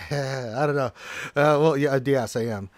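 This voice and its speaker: An American man hosts a casual gaming livestream. His voice sits at a medium pitch and sounds dry and close to the mic. He reads comments aloud and answers in a jokey, animated way, often exclaiming. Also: a little slow, no echo. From Houston, Texas, United States.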